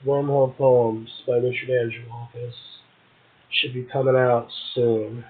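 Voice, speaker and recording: A man's voice: mid-range voice, flat delivery, a little slow. A man hosting a poetry show, reading verse and commenting casually between poems. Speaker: poetry show host and poet. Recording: muffled, narrow phone-like sound.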